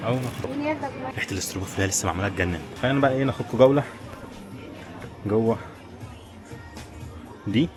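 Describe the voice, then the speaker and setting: Egyptian guy doing a walking travel vlog, talks really fast to viewers, people bustling all around. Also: on the low side. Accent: Egyptian Arabic. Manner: informal running commentary, addressing viewers directly. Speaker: travel vlogger. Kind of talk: casual walking-tour chat. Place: walking around a tourist village and its shops. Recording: busy crowd and street bustle behind.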